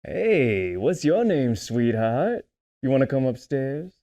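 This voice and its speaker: Man playing a slick, animated street dealer. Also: mid-range voice, takes it slowly. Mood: hustling, charming. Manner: slangy hustler patter, gruffly sarcastic. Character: slick, irritable male street weed dealer. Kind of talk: table read acting.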